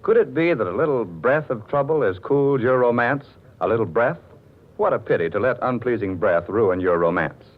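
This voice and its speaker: A man's voice: mid-range voice, lively intonation, fairly quick. A man with an American accent reading 1940s radio commercials in a polished, emphatic salesman's delivery. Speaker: radio advertising announcer. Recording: tinny 1940s radio, faint music.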